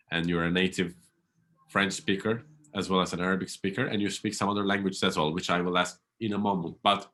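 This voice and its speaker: A man hosts a podcast interview and asks his questions in an earnest, measured way. His voice is very deep. He speaks English. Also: fairly bright tone. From Turkey. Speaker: podcast host.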